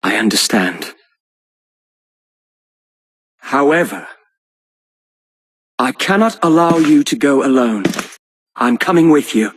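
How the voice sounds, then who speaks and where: A man's voice: medium pitch, sing-song, takes it slowly, long pauses. A man playing an earnest, modest young nobleman in a video game, speaking formally and courteously. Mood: resolute. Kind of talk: dramatic video game voice acting.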